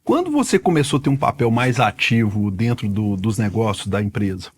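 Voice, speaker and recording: A man's voice: lowish voice, lively intonation, brisk, husky. A man in his forties hosting a podcast interview, introducing his guest and asking questions with emphatic enthusiasm. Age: 40s. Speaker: podcast host.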